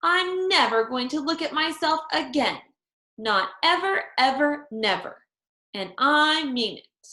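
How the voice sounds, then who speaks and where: A woman voicing an excitable, dramatic little girl from a children's storybook, with a childlike, emphatic way of talking. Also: high voice, slow pace, roomy sound, pitched above the performer's own voice, full character voice. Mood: upset, stubborn. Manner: dramatic, childlike, hollering when upset. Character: excitable, dramatic little girl narrating her own mishaps.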